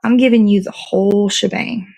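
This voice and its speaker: A woman with a mid-pitched voice hosts a casual morning live stream. She chats in a rambling, informal way and thinks aloud while she busies herself with a task. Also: fairly even intonation, normal speed, vocal fry, a little mellow, rough recording. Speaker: host of a morning show. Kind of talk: casual live chat while assembling a dessert.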